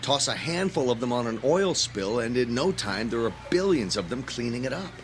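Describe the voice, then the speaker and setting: A man's voice: mid-range voice, sing-song, moderate pace, a little hiss or hum, close to an everyday voice. A man acting in an audio drama as an earnest, idealistic young graduate student with an intense, preachy manner. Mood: earnest, explaining.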